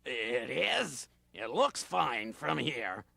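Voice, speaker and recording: A woman's voice: very deep voice, sing-song, very slow, gravelly, pushed and bright, dry recording, pitched below the performer's own voice, heavily acted. A woman voicing a grumpy, sleepy male raccoon who grumbles and drawls.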